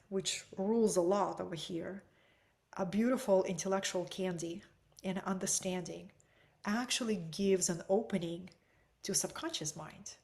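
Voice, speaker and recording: A woman with a Russian accent, teaching an online webinar from home. She speaks softly and sometimes drops into a breathy whisper. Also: mid-range voice. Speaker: energy healing teacher.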